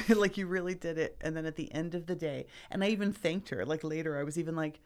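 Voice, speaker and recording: A woman in her forties co-hosting a podcast, chatting casually in a matter-of-fact way with quick agreeing asides. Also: deep voice. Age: 40s. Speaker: podcast co-host. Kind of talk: casual chat.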